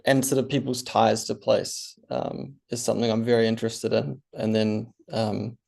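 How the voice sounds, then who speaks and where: A man's voice: on the low side, level tone, moderate pace, gravelly, a little mellow. A man writer on a panel, talking hesitantly with many ums and restarts. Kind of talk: panel discussion answer.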